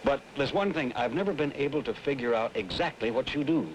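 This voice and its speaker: A man's voice: medium pitch, lively intonation, a little fast, natural voice, poor audio. An American man acting as a chatty, inquisitive frequent flyer in an old educational film, with a folksy manner. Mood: curious. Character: curious, chatty male frequent flyer, easily impressed. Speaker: actor as airline passenger. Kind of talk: scripted 1960s educational film dialogue. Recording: old 1960s film soundtrack, faint noise.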